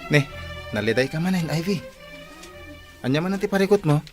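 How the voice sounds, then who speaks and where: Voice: medium pitch, animated, normal speed, loud music behind the voice, natural voice. An actor playing a sympathetic, meddling friend with an Ilocano accent and a concerned, insinuating manner in a radio drama.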